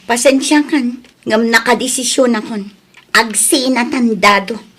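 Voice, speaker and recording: A woman's voice: on the high side, a little slow, fairly bright tone. A woman with an Ilocano accent playing an outspoken, scolding village woman, blunt and firm, in an audio drama. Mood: firm, fed up. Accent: Ilocano, northern Philippines. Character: scolding, outspoken village woman who stands her ground.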